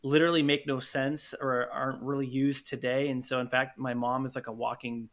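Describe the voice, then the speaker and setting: A man's voice: medium pitch, little pitch movement, a little fast, fairly bright tone. A man chatting casually as a podcast guest, telling anecdotes about his work. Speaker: podcast guest, audio drama producer.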